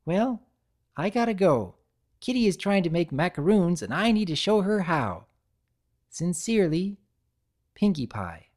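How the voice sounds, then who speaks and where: A woman voicing an excitable, tender-hearted young female cartoon pony baker, effusive, earnest and girlish. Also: fairly low pitch, unhurried, pauses often, pitched above the performer's own voice. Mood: cheerful, bubbly.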